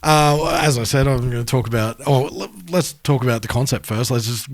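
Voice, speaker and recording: A man's voice: mid-range voice, a little fast, vocal fry, a little hiss or hum. An Australian man co-hosting a music podcast, chatting casually in a laid-back, matey way. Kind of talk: casual chat.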